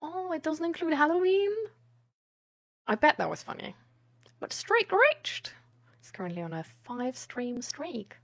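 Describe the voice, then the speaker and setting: High-voiced woman chatting casually on stream. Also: very animated, a little slow, pauses often. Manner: rambling, giggly, hesitant phrasing with frequent "like". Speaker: live streamer. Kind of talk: casual chat with viewers, reading out their messages.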